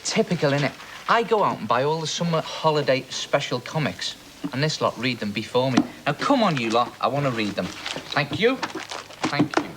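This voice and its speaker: A man presenting children's television, chatting in a matey way and firing quick questions at kids. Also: mid-range voice, very animated. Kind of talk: casual chat with children. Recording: noisy old broadcast audio.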